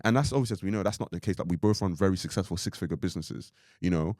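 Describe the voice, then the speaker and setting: Deep-voiced young man, rambling casual chat. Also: rapid-fire, darkish tone, dry recording, clean studio sound. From Ireland. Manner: rambling, full of "like" and "you know what I mean". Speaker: podcast co-host.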